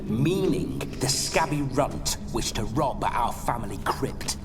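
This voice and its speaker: A man's voice: medium pitch, expressive, slow pace. An actor playing the boisterous, lecherous ghost of a dead nobleman in a video game, speaking in a swaggering, theatrical, archaic lordly way. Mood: teasing, lecherous. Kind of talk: video game character acting. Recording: music behind the voice.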